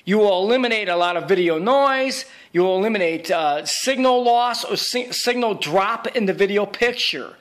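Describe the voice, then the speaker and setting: A man with a noticeably high voice narrates an informal how-to. He talks like a hands-on technician sure of his own skill. His delivery is emphatic and boastful. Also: a bit bright. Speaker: video repair technician.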